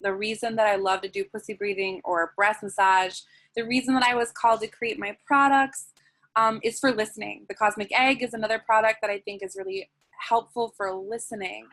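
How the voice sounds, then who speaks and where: A woman interviewed as a guest, talking in a soft, flowing way with many "like"s and "um"s, then leading a guided breathing practice. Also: mid-range voice, a little fast. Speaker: guest, sensual wellness teacher.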